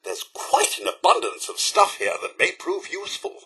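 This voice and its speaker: An actor playing a polite, fussy, sardonic robot butler in a video game, with a British accent and a prim, theatrical, dryly sarcastic manner. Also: male voice, high voice, wildly swooping pitch, normal speed, vocal fry, pushed and bright, husky, exaggerated voice. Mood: eager, courteous. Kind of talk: scripted game companion dialogue.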